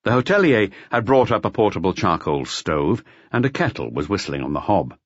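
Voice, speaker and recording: A man narrating a literary audiobook in a measured, expressive storytelling manner. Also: deep voice, breathy edge, no room sound, lo-fi sound. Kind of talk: scripted literary narration of a detective novel.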